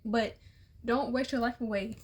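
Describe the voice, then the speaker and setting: A young woman with a slightly high voice gives a relaxed tarot reading. She is losing her voice and sounds a little worn. She talks in a loose, repetitive way and sometimes drops to a soft murmur. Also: a little slow, slight background noise. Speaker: tarot reader. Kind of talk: casual tarot reading for all zodiac signs.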